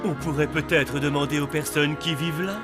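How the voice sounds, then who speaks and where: A fairly high male cartoon voice, an actor's take on a young lovestruck husband. He is chipper, affectionate and excessively polite, over clearly audible music. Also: fairly even intonation, a little fast, close to an everyday voice.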